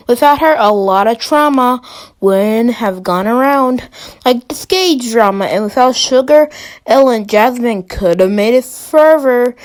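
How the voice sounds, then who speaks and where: A woman giving informal, opinionated ranking commentary in a playfully dramatic way. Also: on the high side. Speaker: video host.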